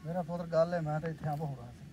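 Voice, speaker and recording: Guy as proud old village dad, rural punjabi accent, firm, talks fast, noisy outdoor drama audio. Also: a little high, fairly even intonation, warm tone, close to an everyday voice. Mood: reassuring. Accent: rural Pakistani Punjabi. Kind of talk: village comedy drama acting. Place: outdoors on a cot in village yard. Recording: noisy outdoor village yard, animals, vehicles.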